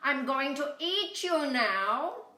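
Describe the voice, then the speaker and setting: A woman's voice: high voice, sing-song, bright, forceful tone, voice pushed up, exaggerated voice. A woman voicing a hungry, menacing but gullible coyote in a storybook, with a growling delivery. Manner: growling, slow and drawn-out. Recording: roomy, echoey, far from mic.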